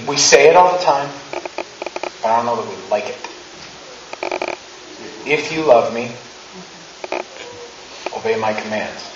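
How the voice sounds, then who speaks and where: A man's voice: mid-range voice, noisy background. A man in his thirties giving a casual, humorous sermon in a church sanctuary, acting out quotes with rising emphasis. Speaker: preacher. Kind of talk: conversational sermon. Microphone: handheld mic, far camera. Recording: roomy, distant, dull church recording.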